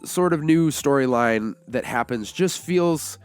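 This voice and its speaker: A man's voice: mid-range voice, expressive, unhurried, clear, resonant tone, dry recording, faint music behind. A man co-hosting a film review show, talking in a casual, conversational way. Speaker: co-host of a movie review show.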